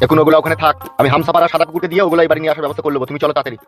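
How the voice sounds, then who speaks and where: Man voicing a high-pitched, rapid-fire cartoon village husband. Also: little pitch movement, close to an everyday voice. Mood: eager, urgent. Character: bickering, sweet-talking two-timing husband, foul-mouthed. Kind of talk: comic cartoon dialogue. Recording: muffled narrow-band audio over music.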